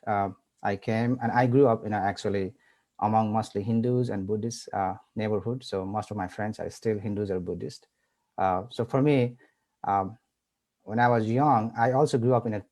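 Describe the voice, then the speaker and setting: A man, a pastor, speaking in an earnest, halting way with many uhs on a panel. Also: lowish voice, a little mellow. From Nepal. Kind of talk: panel discussion.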